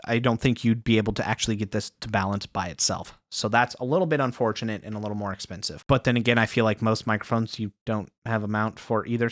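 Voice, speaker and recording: A man's voice: mid-range voice, fairly bright tone. A man giving a casual product review with chatty, self-deprecating asides, speaking off axis into a studio dynamic microphone. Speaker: tech reviewer. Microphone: studio dynamic mic, spoken off axis.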